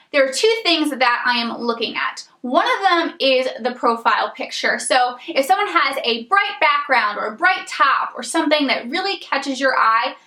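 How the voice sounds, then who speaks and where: A young woman giving casual tips to camera as a beauty vlogger, chatty and rambling with lots of ums, her voice a little raspy from a coming cold. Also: high voice, sharp tone, roomy sound. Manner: chatty, rambling, many ums and you-knows.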